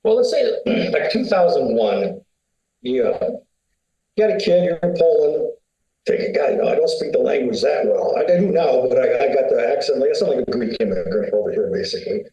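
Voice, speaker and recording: A man's voice: mid-range voice, very animated, fairly quick, dark tone, echoey room, highs cut off. A man, telling long rambling stories as a podcast guest, full of fillers and asides. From United States. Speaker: winery founder, guest. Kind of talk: casual interview talk.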